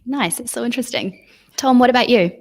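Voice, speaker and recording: A woman's voice: fairly high pitch, brisk. A woman hosting a webinar, introducing and moderating in a prepared, presentational style. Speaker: webinar host.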